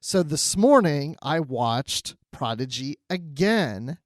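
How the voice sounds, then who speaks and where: Higher-voiced man co-hosting a podcast. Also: sing-song, takes it slowly, no echo, clean studio sound. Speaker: podcast co-host. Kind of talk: casual chat.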